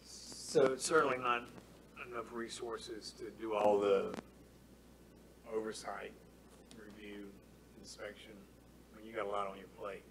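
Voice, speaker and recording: A man speaks at a town meeting in a low, flat voice that is wearing thin. He makes his points earnestly and circles back to the same phrases. A faint hum of the room sits behind him. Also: creaky, poor audio. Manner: earnest, measured, repeats key phrases. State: losing his voice. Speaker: committee member. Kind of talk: public meeting discussion.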